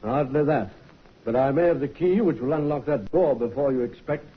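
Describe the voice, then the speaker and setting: A calm police inspector presses his questions politely and keeps at them, as an actor plays him in a 1950s radio play. His voice is very husky and breathy. The old broadcast sound is dull. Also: mid-range voice, a little fast, natural voice. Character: calm, probing male Scotland Yard inspector.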